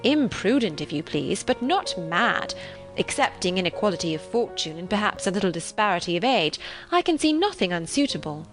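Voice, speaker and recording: A woman voicing a genteel married woman in an audiobook, a calm and teasing friend who reasons in measured, formal period phrases. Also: medium pitch, soft background music, close to an everyday voice. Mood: gently persistent.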